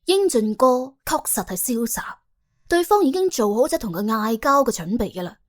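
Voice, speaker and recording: A woman narrating an audiobook in colloquial Cantonese, telling the story in a wry, dramatic, expressive way. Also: a little high, normal speed.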